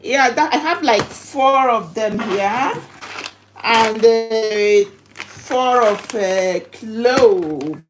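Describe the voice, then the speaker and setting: Woman vlogging an unboxing, slightly high voice, slow n repetitive, chatty, lots of noise on the audio. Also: animated. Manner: halting, repetitive, chatty delivery. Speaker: livestream vlogger. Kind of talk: casual unboxing livestream chat to viewers. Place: home kitchen. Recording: noisy home recording with clicks.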